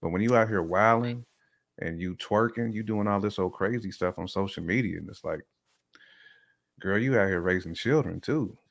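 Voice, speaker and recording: A man's voice: fairly low pitch, normal speed, vocal fry. A man giving his opinions in casual, slangy conversation as a guest on a talk show. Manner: colloquial, slangy phrasing.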